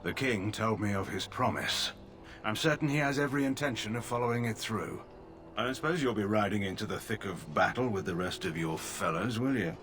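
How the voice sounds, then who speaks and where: An actor playing a stern, proud older army general in a video game, speaking in a clipped, dismissive, formal way. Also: male voice, on the low side, a little fast, a bit bright, natural voice.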